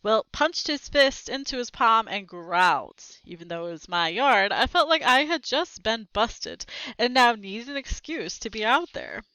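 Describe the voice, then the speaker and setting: A woman reading a children's book aloud, expressive and acting out the characters' lines with short asides. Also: on the high side, measured pace, clear, resonant tone, no echo, poor audio.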